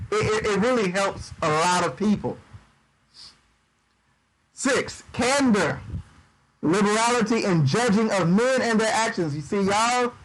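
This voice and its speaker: A man giving livestreamed Bible teaching in a relaxed preaching style, reading scripture aloud and riffing on it, often repeating words as he goes. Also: high-pitched, slight background noise, low-quality recording. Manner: conversational preaching, reads scripture aloud and riffs on it; uses Southern-style 'y'all', stumbles and repeats words. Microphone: livestream microphone.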